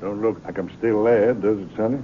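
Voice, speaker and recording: A man's voice: steady tone, brisk, a little hiss or hum, natural voice. A man acting a mocking, menacing American gunslinger in a 1950s radio western. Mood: taunting, cool. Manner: mocking, taunting. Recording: tinny, rumbly 1950s radio recording.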